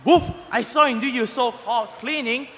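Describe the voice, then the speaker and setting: A man's voice: extremely high pitch, normal speed, big-room sound, very noisy. A man doing a woofing blunt dog. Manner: barks a woof before and after each line. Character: blunt male dog, plain-spoken.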